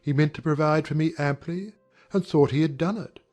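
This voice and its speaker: An actor playing an aggrieved young gentleman in a dramatized audiobook, speaking in formal, measured, earnest period language. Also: on the high side, soft background music, natural voice. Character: young gentleman airing polite, wounded grievances. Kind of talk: dramatized audiobook character acting.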